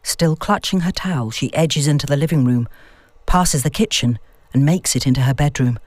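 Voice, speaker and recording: A woman reading a scripted story as an audiobook narrator, in a measured, expressive storytelling manner. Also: low-pitched, no echo. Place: dry, close-miked recording space.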